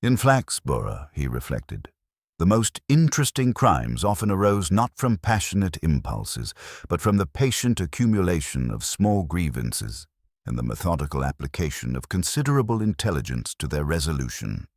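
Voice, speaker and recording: A man narrating a scripted mystery story in a measured, formal storytelling manner. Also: extremely low pitch, sing-song, no echo, studio quality.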